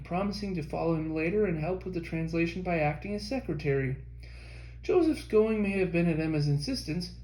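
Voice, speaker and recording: A man reading a history book aloud as an audiobook narrator, in a measured scripted delivery. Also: high voice, darkish tone, echoey room, rough recording. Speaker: audiobook reader.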